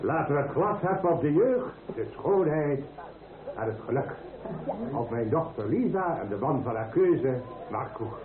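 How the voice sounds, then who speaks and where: A man's voice: medium pitch, animated, a little slow, natural voice. An actor playing a jovial middle-aged father of the bride, speaking ceremoniously and heartily to wedding guests in a radio drama. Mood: solemn, festive. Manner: ceremonious, hearty public address. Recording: muffled phone-like sound, roomy, crowd behind.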